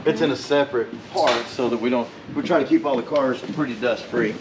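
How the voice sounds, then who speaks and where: A man's voice: mid-range voice, a little fast. Easygoing man in an echoey garage. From Tennessee, US. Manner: easygoing, rambling, chuckles often. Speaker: custom interior shop owner. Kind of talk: casual shop tour explanation. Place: large indoor garage workshop. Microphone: host's handheld camera. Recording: echoey garage with background noise.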